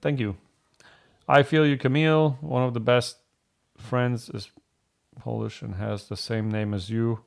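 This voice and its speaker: A man's voice: medium pitch, fairly even intonation, measured pace, gravelly, long pauses. A man from Germany hosting a casual live question-and-answer chat, speaking in a candid, blunt and rambling way. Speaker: host answering viewer questions.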